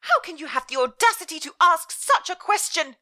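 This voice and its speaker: A woman voicing a furious middle-aged Victorian mother, scolding in a shrill, near-shouting voice. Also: very animated, fairly quick, heavily acted.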